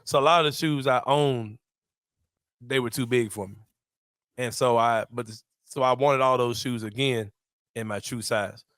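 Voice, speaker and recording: A man in his forties from Memphis, hosting a sports podcast in a relaxed, slangy, laughing way. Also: medium pitch, moderate pace, clear, resonant tone, no room sound, studio quality. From Memphis, USA. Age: 40s. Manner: laid-back, slangy, laughs often. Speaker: podcast host. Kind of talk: casual sports podcast chat.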